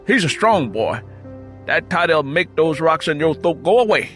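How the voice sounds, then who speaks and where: A man acts out a watchful country father in an audiobook story. His voice is raised and loud, booming out in folksy rural dialect with a commanding manner, over background music. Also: very animated, moderate pace. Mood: concerned, commanding. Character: protective, watchful father of a poor rural family.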